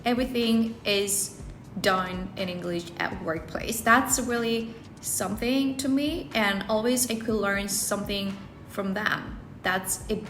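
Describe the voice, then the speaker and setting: A woman talks straight to her viewers in English, earnest and open, often restarting a thought to find better words. Her voice sits at an ordinary middle pitch. The recording is roomy and echoey, with soft music underneath. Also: measured pace. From South Korea. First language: Korean. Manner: earnest, rephrases herself mid-sentence. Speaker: English-learning video creator. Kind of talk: personal advice talk to camera. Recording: roomy echo, faint background music.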